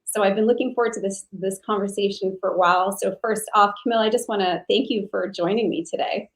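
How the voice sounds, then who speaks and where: A woman's voice: mid-range voice, fairly even intonation, quick pace. Encouraging woman hosting a conversational interview. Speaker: podcast host and sleep coach.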